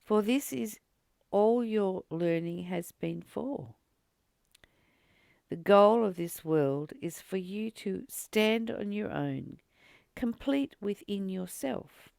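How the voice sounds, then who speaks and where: A spiritual teacher reading aloud and reflecting on a text in a deliberate, earnest way, pausing between short phrases. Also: mid-range voice, lively intonation, creaky, a little mellow, clear, resonant tone, dry recording, clean studio sound.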